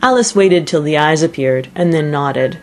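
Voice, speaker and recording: A woman's voice: low-pitched, expressive, moderate pace, soft background music. A woman narrating an audiobook, reading a children's story expressively from a script.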